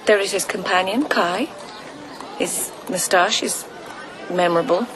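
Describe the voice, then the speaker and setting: An actress playing an observant court lady in a radio drama, speaking in measured, short literary phrases. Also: medium pitch, crowd noise, background noise, close to an everyday voice. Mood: dry. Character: reflective, observant court lady narrating her search. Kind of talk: radio drama narration and dialogue.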